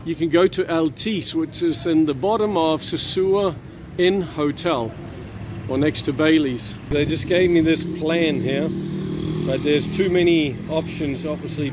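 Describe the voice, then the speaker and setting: Higher-pitched man vlogging over traffic noise. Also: moderate pace. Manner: chatty, plain explaining to viewers. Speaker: vlog host. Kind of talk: casual informative vlog talk. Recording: noisy outdoor street with traffic.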